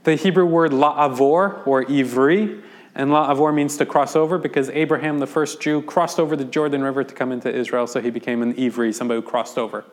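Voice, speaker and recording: A man's voice: medium pitch, fairly even intonation, fairly quick. An American man, a rabbi, giving an informal lecture to a class and explaining things conversationally with frequent ums and restarts. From United States.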